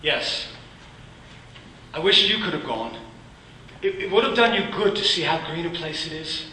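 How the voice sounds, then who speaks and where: A man as a gentle grieving father. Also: a little high, slight background noise. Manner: soft, halting, comforting. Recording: roomy, distant from the mic.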